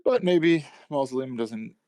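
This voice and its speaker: A man's voice: mid-range voice, expressive, measured pace, dark tone, dry recording, studio quality. A man chatting casually on a livestream over a phone call in a playful, goofy way. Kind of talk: casual chat.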